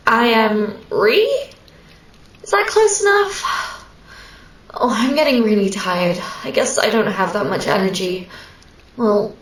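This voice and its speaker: A woman playing a lonely, clingy sleep paralysis demon in a scripted ASMR roleplay, speaking intimately and haltingly to a sleeper. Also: fairly high pitch, far from the mic. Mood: determined, then drained, uncertain. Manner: hesitant, halting, wistful, intimate. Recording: roomy echo, set back from mic.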